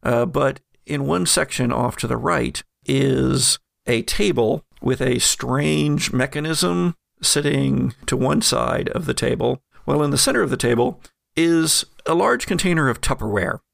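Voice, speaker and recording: A man runs a tabletop game, describing rooms and objects in a loose, chatty way. His voice has a gravelly edge and sits at a middle pitch. The recording is dry and close. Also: a little slow, no echo. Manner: relaxed, chatty descriptions with fillers. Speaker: game master.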